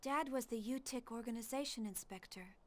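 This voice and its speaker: Young female scientist played by a woman, fairly high voice, earnest and precise, video game cutscene style. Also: fairly quick, natural voice. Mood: resolute, somber. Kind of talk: English-dubbed video game acting.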